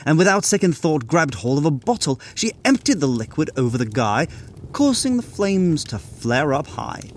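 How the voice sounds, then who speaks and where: A man's voice: fairly high pitch, big pitch swings, normal speed. A man narrating a scripted comic tale in rhyme in a dramatic storytelling manner. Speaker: co-narrator and writer.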